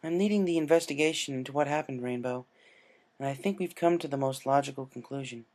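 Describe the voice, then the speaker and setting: A narrator voicing a tender, regal young female alicorn princess in a gentle, formal, measured way for a story reading. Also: mid-range voice, natural voice. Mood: calm, explanatory.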